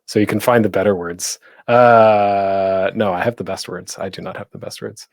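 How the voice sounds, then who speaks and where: A man's voice: on the low side, steady tone, moderate pace, creaky. A man presenting a casual online panel as a podcaster, speaking off the cuff in a rambling, self-deprecating, jokey way.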